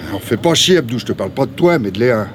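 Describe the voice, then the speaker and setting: A male actor playing a worn, middle-aged homeless man haunted by lost love, colloquial and gruff, in a naturalistic radio drama. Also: medium pitch, expressive, rapid-fire, noisy background, close to an everyday voice. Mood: irritated. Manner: colloquial, gruff, emotionally volatile.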